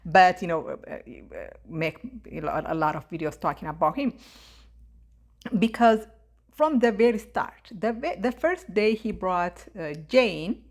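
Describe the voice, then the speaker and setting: A young woman with a thick East African accent, talking in a casual monologue, indignant and halting, with many fillers and restarts. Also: fairly low pitch, animated. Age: young adult. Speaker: YouTube commentator.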